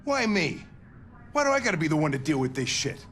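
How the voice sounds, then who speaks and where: A gruff, swearing police lieutenant. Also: male voice, on the high side, big pitch swings, brisk, a bit bright, a little hiss or hum. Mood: grumbling. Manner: profane, combative, grumbling. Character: gruff bitter middle-aged male police lieutenant.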